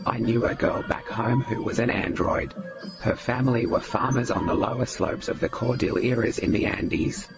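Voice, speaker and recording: A man voicing a chatty, earthy Australian woman with a raspy, smoky voice in scripted comedy dialogue. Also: mid-range voice, normal speed, loud music behind the voice, close to an everyday voice. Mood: friendly.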